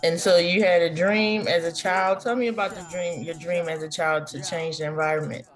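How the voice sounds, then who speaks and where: A woman's voice: lowish voice, steady tone, moderate pace, faint music behind. A woman speaking as an interview show host in a casual, conversational way, with informal, unscripted phrasing.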